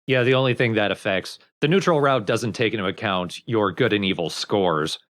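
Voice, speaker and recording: A man's voice: lowish voice, moderate pace, a bit bright. A young man casually explaining game mechanics as a let's play commentator.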